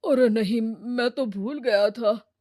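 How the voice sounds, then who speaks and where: A woman's voice: on the high side, slow pace, dark tone, dry recording, clean studio sound. A woman voicing a trusting, easily worried middle-aged parent with plain-spoken simplicity in a cartoon moral story.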